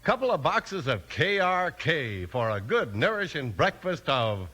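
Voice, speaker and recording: A man's voice: on the high side, sing-song, unhurried, pushed and bright, husky. A man announcing and narrating a 1950s children's radio show with punchy, dramatic, folksy salesmanship.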